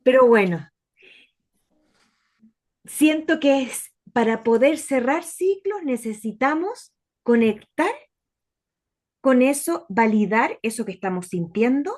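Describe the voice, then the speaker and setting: A woman with a fairly high voice, talking as a guest in a relaxed conversation. She reflects aloud and pauses to find her words. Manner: reflective, searches for words mid-sentence. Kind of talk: casual conversation.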